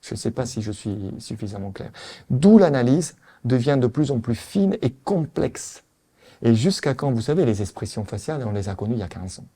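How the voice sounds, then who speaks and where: A man with an Italian accent lectures at a conference in a warm, mellow voice. He is animated and emphatic, repeating his points and asking the audience rhetorical questions. He sounds middle-aged and sure of his subject. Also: mid-range voice, moderate pace, breathy edge. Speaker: veterinary anesthesiologist lecturer.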